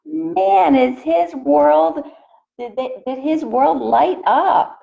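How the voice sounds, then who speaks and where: A woman reading a picture book aloud expressively to children in a teacherly way, pausing to put questions to them. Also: high-pitched, creaky, highs cut off. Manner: asks young listeners questions.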